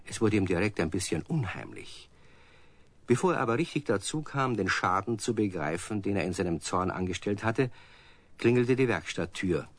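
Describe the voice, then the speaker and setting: A man's voice: lowish voice, no room sound. A man narrating a children's radio play as a scripted storyteller.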